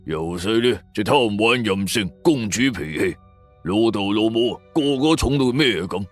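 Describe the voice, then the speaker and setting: A man's voice: lowish voice, animated, a little slow. A man with a Hong Kong Cantonese accent voicing a scornful, resentful wealthy man in an audio drama. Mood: scornful, bitter. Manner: haughty, sneering. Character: scornful, resentful wealthy man, callous about his sister. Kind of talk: audio drama character dialogue. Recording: background music under voice.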